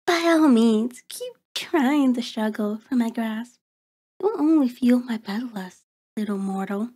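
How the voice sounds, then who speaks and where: A woman's voice: on the high side, lively intonation, measured pace, mellow, breathy edge. A woman playing a cruel, predatory vampire in an ASMR horror roleplay, taunting and haughty, savoring words in formal diction. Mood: menacing, taunting. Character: cruel, predatory female vampire toying with her captive.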